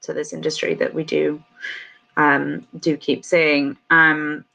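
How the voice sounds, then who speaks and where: A woman's voice: low-pitched, little pitch movement, gravelly, poor audio. A woman hosting an online panel, thanking guests and hesitating with frequent ums. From United Kingdom. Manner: warm thanks, halting with many ums. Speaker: panel host.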